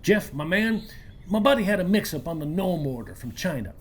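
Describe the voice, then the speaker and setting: An actor playing a breezy, slangy handyman in a radio play, full of corny flattery, with a husky voice. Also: mid-range voice, a little fast. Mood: boastful, chummy. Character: folksy, jokey, slangy male handyman and flatterer.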